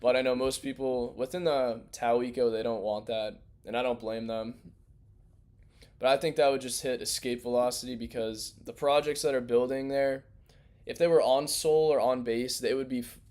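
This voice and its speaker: A young man hosting a crypto livestream in loose, rambling, slangy casual chat, his voice worn and going hoarse. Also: mid-range voice, steady tone, normal speed, darkish tone. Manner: loose, rambling, slangy, restarts mid-thought. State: losing his voice.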